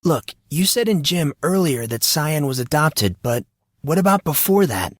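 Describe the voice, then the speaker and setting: A man's voice: fairly high pitch, very animated, normal speed, no room sound, studio quality, voice pushed up. A man voicing an earnest, defensive teenage boy with a hesitant manner.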